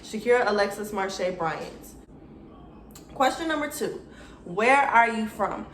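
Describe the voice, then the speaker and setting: A woman's voice: medium pitch, expressive, measured pace, a bit bright, echoey room, slight background noise. Chatty young Atlanta woman vlogger. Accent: Atlanta Southern US. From Atlanta, Georgia, USA. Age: 20s. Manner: chatty, slangy, addresses viewers directly. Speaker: YouTuber. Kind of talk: casual get-to-know-me Q&A.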